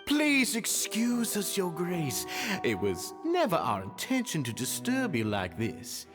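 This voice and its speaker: A sinister game henchman speaks with oily, theatrical courtesy. His pitch swings very widely. Music plays clearly behind him. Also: wildly swooping pitch, unhurried, full character voice. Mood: feigned concern. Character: smooth-talking sinister male cult henchman feigning courtesy. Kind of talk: scripted video game voice acting.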